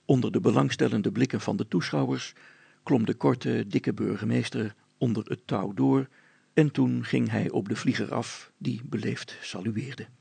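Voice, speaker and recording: A man's voice: medium pitch, fairly even intonation, moderate pace, gravelly, mellow, husky, no room sound. A man narrating a scripted story as a storyteller.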